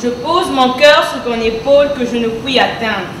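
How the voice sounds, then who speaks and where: A woman declaims verse in a fairly high voice. She sounds distant from the microphone in an echoey room, with clear background noise. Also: moderate pace. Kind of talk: poetry recitation.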